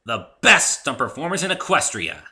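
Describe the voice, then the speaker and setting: A man's voice: medium pitch, big pitch swings, normal speed, pushed and bright, pitched above the performer's own voice. A man voicing a brash, zealous young female cartoon pony athlete with a confident, boastful, eager manner. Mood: zealous, dreamy.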